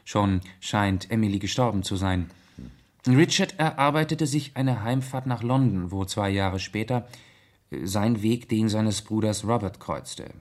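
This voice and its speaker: An actor playing a gentleman detective in a 1970s radio play, reasoning calmly in with measured, deliberate pauses. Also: on the low side.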